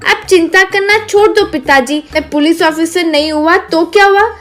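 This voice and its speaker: A woman's voice: extremely high pitch, normal speed, a bit bright, faint music behind. A woman voicing an earnest, respectful young boy hero in a cartoon story. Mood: reassuring, determined. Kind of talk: scripted cartoon dialogue.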